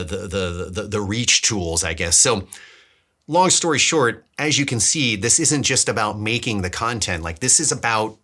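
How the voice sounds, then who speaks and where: A man with a slightly low, bright and forward voice hosts a casual devlog. He thinks aloud in a rambling, informal way, doubling back on words as he explains himself to viewers. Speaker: DIY filmmaker and vlog host. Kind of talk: casual devlog talk to camera.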